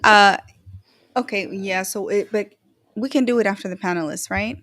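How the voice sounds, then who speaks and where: A woman's voice: mid-range voice, normal speed, fairly bright tone. A woman from El Salvador hosting a video call panel, chatty and jokey, with many fillers. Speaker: host. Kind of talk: casual panel chat.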